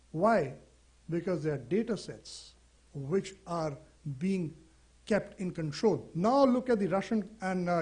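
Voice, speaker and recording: Indian english guy, kinda high voice, chatty seminar talk, lots of you know and rhetorical questions. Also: dark tone. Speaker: seminar speaker. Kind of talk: conference talk.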